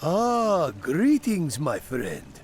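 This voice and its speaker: Wandering brewmaster: unhurried, highish, lilting delivery, genial and friendly, fantasy game. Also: male voice, wildly swooping pitch, soft background music, exaggerated voice. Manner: slow, friendly.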